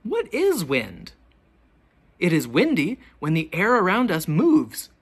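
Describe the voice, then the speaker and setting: A teacher reading a children's picture book aloud, with expressive, deliberate questions pitched to young children. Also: breathy edge. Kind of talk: children's storybook read-aloud.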